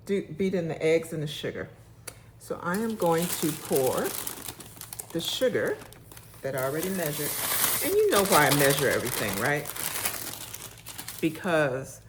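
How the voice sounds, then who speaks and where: A woman with a low, loud voice talks viewers through a recipe in her home kitchen, chatty and homey. She is recorded on a phone and then a small clip-on mic, with a faint hum of room ambience behind her. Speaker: home cooking host. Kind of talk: casual cooking demonstration. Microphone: phone, later a clip-on mic.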